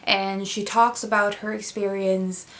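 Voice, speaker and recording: Young woman, Swedish native, relaxed book-vlog chat, hesitant with plenty of fillers. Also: mid-range voice, a little slow. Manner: thoughtful, many fillers and restarts. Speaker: book vlogger. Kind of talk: casual book review chat.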